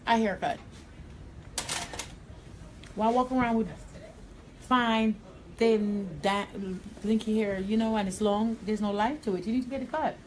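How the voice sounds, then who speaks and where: A woman's voice: a little high, fairly even intonation, unhurried, long pauses, a little hiss or hum, poor audio. A woman with a Jamaican accent, a hairstylist giving a live tutorial in a salon, talking bluntly and directly. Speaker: hairstylist and educator. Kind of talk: live tutorial while cutting hair. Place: hair salon.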